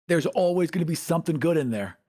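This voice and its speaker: A man's voice: fairly high pitch, animated, quick pace, a little mellow. A man co-hosting a podcast, telling game stories in casual conversation. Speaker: podcast co-host and game master. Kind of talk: casual chat and storytelling.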